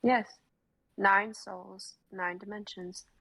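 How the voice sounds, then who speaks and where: A woman's voice: medium pitch, steady tone, takes it slowly, creaky, a bit bright, dry recording, heavily acted, rough recording. A woman reading the part of a guarded female guide who speaks tersely and matter-of-factly.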